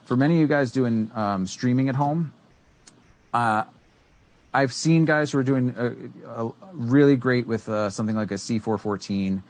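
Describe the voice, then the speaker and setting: A man's voice: mid-range voice, moderate pace, a little mellow. A man in his thirties, co-hosting a casual tech talk from a small studio, explaining gear with many fillers and restarts. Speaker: co-host. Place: small studio room with green screen. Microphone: studio microphone on boom arm.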